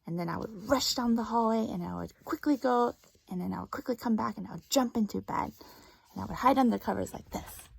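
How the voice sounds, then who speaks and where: A woman reading a story aloud to young children as a teacher, often dropping into hushed, breathy asides. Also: fairly high pitch, fairly quick, low-quality recording. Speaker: first grade teacher reading a picture book aloud.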